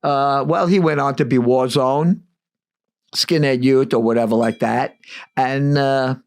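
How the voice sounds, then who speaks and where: A man's voice: mid-range voice, unhurried, gravelly. An older man, telling rambling stories as a guest in a casual interview and acting out old conversations. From United States. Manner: rambling, animated, acts out dialogue. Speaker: guest musician.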